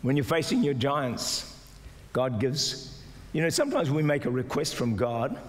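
Older pastor preaching in a reverberant hall. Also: male voice, big pitch swings, a little slow. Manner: exhorting, rising to shouts, mimics doubters in a higher voice. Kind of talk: sermon. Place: large church auditorium with stage. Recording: live church hall reverb with music. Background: soft music and congregation responses.

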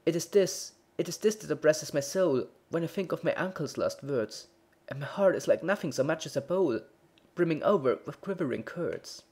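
A mild, fretful baker pleads his woes in a plaintive, anxious voice, as a man reads him aloud. The tone is husky and lifts higher than the narrator's, wavering with worry. He is a gentle figure from a storybook poem. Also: lively intonation, moderate pace.

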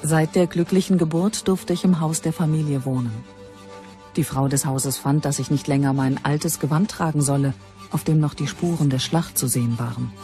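A Germanic survivor of a lost tribe recounts her life in docudrama style. The voice is soft-toned and dark, subdued and reflective, over faint music. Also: deep voice, steady tone, normal speed. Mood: reflective, grateful. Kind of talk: first-person docudrama narration.

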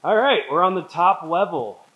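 A woman's voice: lowish voice, lively intonation, normal speed, dull audio, background noise. Upbeat chatty woman touring an old mill. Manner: upbeat, chatty, keeps calling others by name. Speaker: distillery owner giving a tour. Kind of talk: casual walkthrough commentary. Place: large empty old mill building, indoors.

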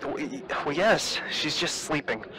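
An actor playing an anxious, exasperated husband, urgent and stammering, calling an emergency line. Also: male voice, fairly high pitch, lively intonation, a little slow, a crowd in the background, low-quality recording. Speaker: emergency caller.

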